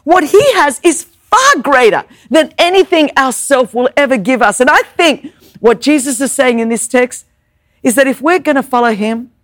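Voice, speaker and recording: A woman's voice: high voice, expressive, moderate pace, no room sound, clean studio sound. A woman whose first language is Greek, preaching an emphatic sermon with rhetorical questions and repeated words. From Greek Orthodox family background.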